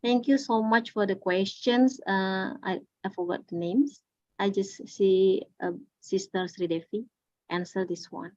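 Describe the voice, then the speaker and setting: A woman speaks over a video call, answering workshop questions. She speaks haltingly, with frequent fillers and restarts. The audio is dull and lo-fi, with a dry sound. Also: medium pitch, steady tone, a little mellow, no echo. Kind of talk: unscripted answers at an online workshop.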